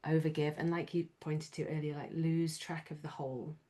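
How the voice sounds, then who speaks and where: A woman with a low, slightly hoarse voice hosts the conversation over a video call. She speaks reflectively and laughs often. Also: little pitch movement, moderate pace, dark tone. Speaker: host. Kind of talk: conversational interview.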